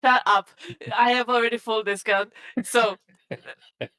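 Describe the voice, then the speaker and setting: Female tabletop player, crisp and forward high voice, casual improvised banter. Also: steady tone, measured pace, sharp tone. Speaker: player in a tabletop role-playing game.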